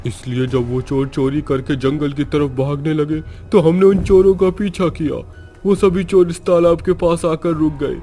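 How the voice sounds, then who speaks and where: A man voicing a bitter, determined ghost child in a horror story, forceful and dramatic. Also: mid-range voice, fairly even intonation, moderate pace, soft background music, voice pushed up, close to an everyday voice.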